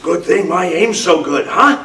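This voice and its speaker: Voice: mid-range voice, lively intonation, a little slow, fairly bright tone. A performer voicing an imaginative, stubborn little boy in a live spooky radio play reading, with a whiny, dramatic delivery. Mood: excited, insistent. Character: little boy Ira, excitable, stubborn, imaginative, fearful of boogeymen. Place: club meeting room, live reading. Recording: roomy distant microphone, noisy meeting room.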